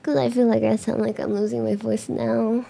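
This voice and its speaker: A young woman streamer chatting casually to her viewers, rambling with fillers and restarts and bursts of bubbly excitement. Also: on the high side, normal speed, creaky.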